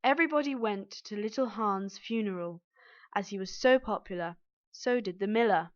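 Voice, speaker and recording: A woman's voice: medium pitch, normal speed. A woman narrating a scripted children's story as its reader. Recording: dull, band-limited audio.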